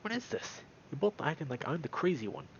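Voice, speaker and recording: A man's voice: a little high, very animated, fairly quick, a bit bright, highs cut off, a little hiss or hum, voice pushed up. A man voicing an aggressive, paranoid wolf in a visual novel, growly and agitated. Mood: angry, accusing. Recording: music behind the voice.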